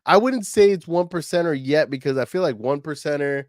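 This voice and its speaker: A man's voice: fairly high pitch, fairly quick, dry recording, studio quality. A man co-hosting a podcast, talking casually and animatedly with slang and fillers. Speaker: podcast co-host.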